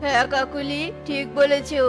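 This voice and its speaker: Woman doing greedy braggy boy crow, really high voice, scornful, kids cartoon w music. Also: completely flat delivery, moderate pace, close to an everyday voice. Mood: eager, hawking. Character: selfish, boastful male cartoon crow, greedy elder brother. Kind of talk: children's cartoon dubbing.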